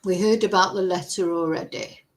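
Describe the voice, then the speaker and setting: A woman's voice: fairly low pitch, fairly even intonation, rough recording. A woman hosting a casual true crime chat, reading out news reports and adding her own asides. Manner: slow, chatty, reads news text aloud with asides. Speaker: true crime channel host. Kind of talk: casual commentary over a live news stream.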